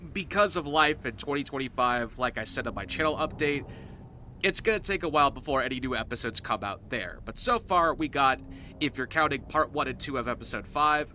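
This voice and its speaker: A male creator talks directly to his viewers in an explanatory announcement. His mid-pitched voice is bright and forceful, delivered in emphatic short phrases, with faint noise behind it. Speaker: roleplay series creator and host.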